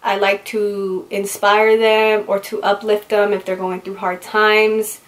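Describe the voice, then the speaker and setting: A woman's voice: mid-range voice, flat delivery, takes it slowly, low-quality recording. Woman, 20s, beauty vlogger, bubbly and candid, with loose filler-heavy chat. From California, raised in Utah. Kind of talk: casual get-to-know-me Q&A.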